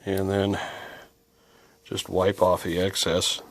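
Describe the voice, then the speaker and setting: Casual male hobbyist presenter with a lowish voice, talking through a hands-on repair as thoughts occur. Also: completely flat delivery, takes it slowly, no room sound. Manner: musing, thinking aloud.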